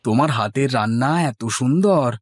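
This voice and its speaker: A man playing an eager, flattering, scheming village milkman husband in a cartoon, with theatrical exclamations. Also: mid-range voice, normal speed, no echo, studio quality, natural voice. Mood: coaxing. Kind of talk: Bengali cartoon dialogue. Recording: music bed behind the voice.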